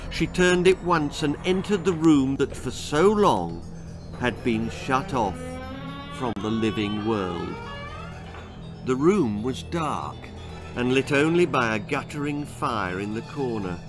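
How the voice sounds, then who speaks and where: Slow, theatrical male storyteller, highly animated. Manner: theatrical, suspenseful. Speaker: author reading own story. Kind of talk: dramatic children's horror-comedy storytelling.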